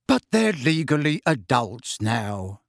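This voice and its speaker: A man's voice: mid-range voice, sing-song, gravelly, no room sound, heavily acted. Storybook father, male narrator: middle-aged, weary, patient, dragging delivery, dry sound. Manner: tired, slow.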